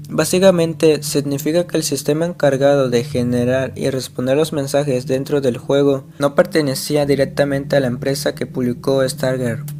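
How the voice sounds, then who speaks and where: A man narrating an internet mystery video in a scripted, explanatory way, asking the viewer rhetorical questions. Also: medium pitch. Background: faint guitar music.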